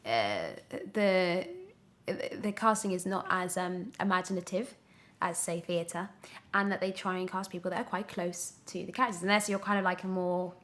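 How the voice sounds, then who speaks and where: A woman's voice: fairly low pitch, moderate pace, fairly bright tone. A British woman in her twenties giving a casual podcast interview as a young actor, emphatic and often breaking off to restart her thought. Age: 20s.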